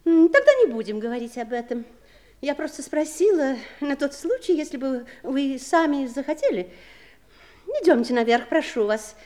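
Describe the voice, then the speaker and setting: A woman's voice: high voice, animated, moderate pace, warm tone. An actress playing a fussy, anxious-to-please mother in a 1960s Soviet radio play, gushing, hesitant and repeating herself. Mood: chatty, eager.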